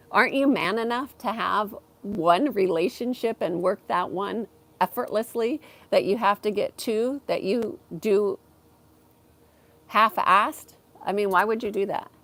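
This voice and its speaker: A woman hosting a live stream from her backyard, talking slowly and softly, pausing with ums as she reads and answers chat comments. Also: medium pitch. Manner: slow, soft-spoken, with frequent ums.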